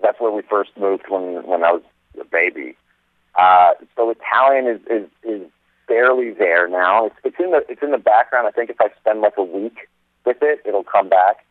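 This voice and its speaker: Man from Lebanon, low voice, phone-line sound, chatty, upbeat, dry. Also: normal speed, dry recording. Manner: upbeat, chatty with many fillers. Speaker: actor guest. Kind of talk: phone interview.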